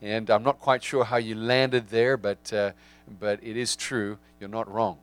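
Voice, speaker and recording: A man in his forties with a South African accent, giving a reflective sermon with frequent restarts and pauses. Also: on the low side, level tone, smooth. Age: 40s.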